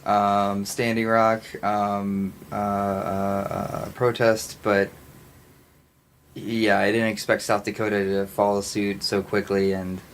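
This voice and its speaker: Co-host: American man, slightly low voice, loose joking rambles, faint background noise. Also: completely flat delivery, takes it slowly. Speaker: podcast co-host. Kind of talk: casual banter.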